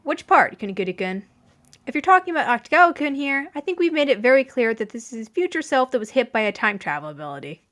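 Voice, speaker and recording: A woman's voice: high-pitched, sing-song, fast talker, fairly bright tone. A woman voicing a sly, amused male detective in a fan fiction reading, teasing and knowing. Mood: unbothered. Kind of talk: fan fiction audiobook character voice.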